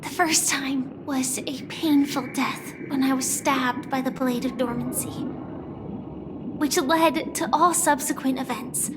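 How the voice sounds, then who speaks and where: A woman playing a gentle young warrior in a video game, resigned to death, speaking earnestly and with hushed, wistful deliberation. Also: high voice, steady tone, slow, background noise. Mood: solemn resolve. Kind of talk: dramatic video game voice acting. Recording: game music behind the voice.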